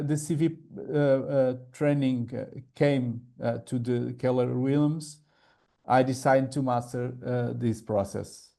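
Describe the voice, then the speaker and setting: A man's voice: mid-range voice, vocal fry, warm tone. A middle-aged man from Portugal, a native Portuguese speaker with a Portuguese accent, speaking hesitant English on a video call, full of fillers and repeated words. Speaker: real estate trainer and coach, guest.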